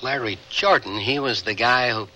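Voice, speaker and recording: An actor playing a rough-edged working-class man, blunt, slangy and kindly under it, in a radio drama. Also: medium pitch, normal speed, sharp tone, low-quality recording. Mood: gentle. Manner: blunt, slangy, stammering when sincere.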